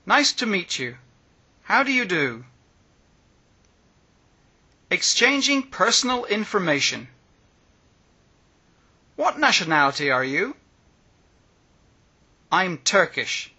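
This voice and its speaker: A man slowly reading short phrasebook sentences one by one, with pauses between phrases, as a language lesson. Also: high voice, big pitch swings, pushed and bright, breathy edge. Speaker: language teacher.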